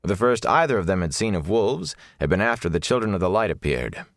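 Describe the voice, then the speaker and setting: Deep-voiced male audiobook narrator, very expressive. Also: brisk, no room sound, clean studio sound. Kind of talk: scripted dramatic fiction narration.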